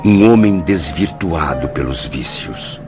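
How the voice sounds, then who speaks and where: Deep, solemn male narrator. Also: animated, exaggerated voice. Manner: solemn, dramatic, measured. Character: solemn male patient-narrator recalling sorrowful spirit visions. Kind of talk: scripted radio drama narration. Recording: muffled radio drama with music. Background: dramatic music.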